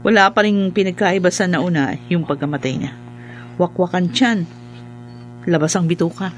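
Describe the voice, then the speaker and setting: A man's voice: sits high, moderate pace, a little hiss or hum, natural voice. A man playing an earnest, deferential junior police sergeant reporting in a radio drama. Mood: grave, urgent. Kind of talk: Filipino radio drama acting.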